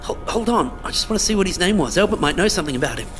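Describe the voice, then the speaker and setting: A man acts a young male survivor in an audio drama, casual, protective and teasing. His pitch swings widely, with faint music behind him. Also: animated, very fast, a bit bright. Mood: startled, curious. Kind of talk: conversational audio drama acting.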